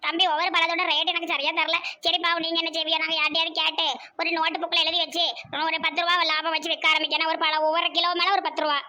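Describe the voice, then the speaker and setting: A very high, bright female voice put on by a woman plays a loud village fruit seller in a comic cartoon. She speaks colloquial southern Tamil Nadu dialect and is cheeky, grumbling and theatrical. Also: level tone, fast talker, hardly any pauses, full character voice. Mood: exclaiming.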